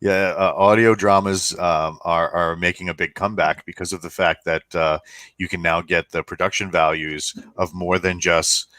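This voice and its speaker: An American man co-hosting a podcast, explaining things casually in a halting way. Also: low voice, steady tone, fairly bright tone, no room sound. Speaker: podcast co-host.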